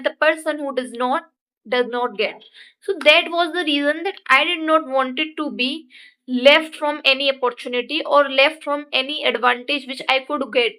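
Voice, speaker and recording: A young Indian woman with a bright, high voice speaks earnestly to English learners. Her English carries an Indian accent and a Hindi speaker's phrasing, and she goes back over each point in simple words. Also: rough recording. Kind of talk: motivational talk to learners.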